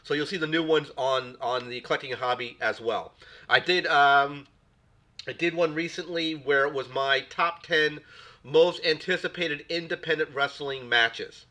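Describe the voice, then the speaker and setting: A man's voice: a little high, normal speed, bright, forceful tone. Man with a cold rambling on stream. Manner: rambling, repeats words, greets chat viewers. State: recovering from a cold. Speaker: stream host. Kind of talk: casual live-stream chat.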